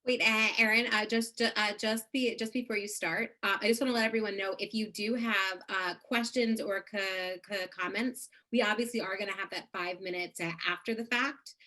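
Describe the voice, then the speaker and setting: A woman co-hosts an online event, explaining how to ask questions during it. She fills her speech with "uh" and "um" and stutters on some words, at an everyday pitch. It sounds like a video call. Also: steady tone, moderate pace, hardly any pauses, sharp tone. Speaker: co-host. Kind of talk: giving event instructions.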